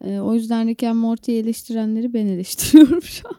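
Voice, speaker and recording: A woman's voice: fairly high pitch, quick pace, dark tone, no echo, clean studio sound. A woman, co-hosting a podcast in casual, opinionated chat. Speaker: podcast co-host.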